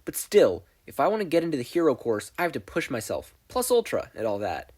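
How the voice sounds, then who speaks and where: Man as an earnest teenage boy, lively pitch. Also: fairly quick, slightly rough. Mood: frustrated resolve. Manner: hesitant, polite, earnest. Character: earnest, hesitant teenage boy, aspiring hero.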